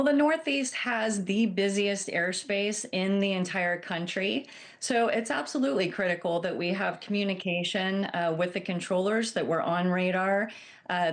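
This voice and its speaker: A woman airline captain giving measured, earnest explanations as an expert guest in a news interview. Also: on the low side. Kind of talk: broadcast news interview answers.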